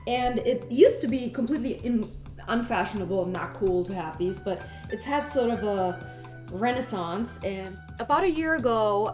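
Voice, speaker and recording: A young woman from Germany, a fashion blogger, chatting enthusiastically about her clothes in an interview, with lots of "like" and "you know". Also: medium pitch. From Germany (Munich). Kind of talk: casual interview, showing her wardrobe. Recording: echoey room with music behind.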